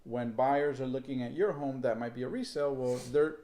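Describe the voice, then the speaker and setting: 30s guy laying out tips at a table, calm and practical, mid pitch. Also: fairly quick. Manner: steady, explanatory, practical. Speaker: real estate agent, co-host. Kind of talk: casual advice chat. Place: indoor room set, seated at a table.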